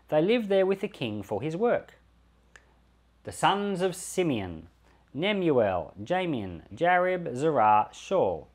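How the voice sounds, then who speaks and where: A man reading scripture aloud as a pastor, measured and deliberate, phrase by phrase. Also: fairly high pitch.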